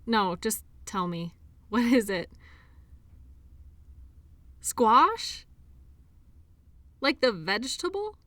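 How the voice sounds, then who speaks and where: A woman acting an earnest, defensive and lonely sports doctor in an intimate audio drama, speaking in an emotional, halting way. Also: on the high side, sing-song, fairly bright tone, dry recording, heavily acted. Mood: sheepish. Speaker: audio roleplay performer.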